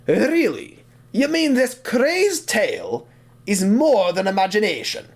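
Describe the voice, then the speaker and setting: An actor playing a stern, exasperated railway boss who scolds and blusters in an audio drama. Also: male voice, high-pitched, very animated, slow, full character voice. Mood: doubtful.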